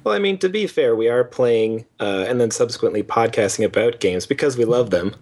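A man's voice: medium pitch, animated, fairly quick, darkish tone. A young man with a Canadian accent co-hosting a casual gaming podcast, laid-back and rambling. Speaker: podcast co-host. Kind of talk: casual chat.

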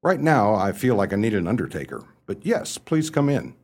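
Flighty young english toff in a radio play, lowish husky voice, chatty and swoopy. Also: male voice, very animated, normal speed, a little mellow. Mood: groggy. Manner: chatty, breezy, theatrical upper-class phrasing. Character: flighty well-off young English gentleman bachelor, amiable but muddled. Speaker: narrator and lead character. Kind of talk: radio play acting, storytelling narration.

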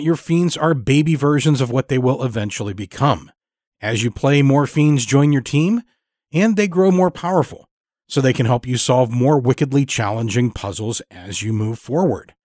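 A man's voice: medium pitch, darkish tone, no echo, clean studio sound. A man hosting a podcast, reading scripted narration and announcements. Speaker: podcast host.

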